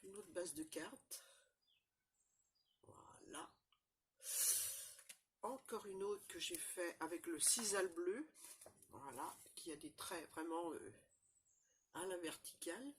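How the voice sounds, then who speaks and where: A woman with a cold, chatting casually through a crafting demonstration, rambling and filling pauses often. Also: lowish voice, big pitch swings, a little hiss or hum. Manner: chatty, rambling, constant fillers and "voilà". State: has a cold, stuffy. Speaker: crafting channel host. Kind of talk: casual show-and-tell crafting demo.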